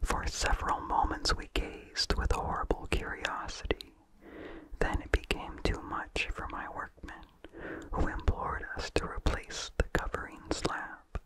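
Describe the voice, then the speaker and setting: A narrator reading vintage horror fiction aloud in a breathy, unvoiced ASMR whisper, with slow, deliberate, measured phrasing. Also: fairly bright tone, rough recording.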